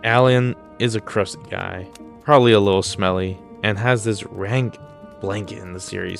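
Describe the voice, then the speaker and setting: A man's voice: lowish voice, creaky. A man hosting casual comedic video commentary, mock-serious, blunt and crude with plenty of swearing. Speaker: YouTube host.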